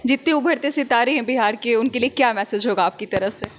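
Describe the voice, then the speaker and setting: Woman interviewer, high lively voice, short questions, a little faint hiss behind. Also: a little fast. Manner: brief prompting questions and agreement. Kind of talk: casual interview talk.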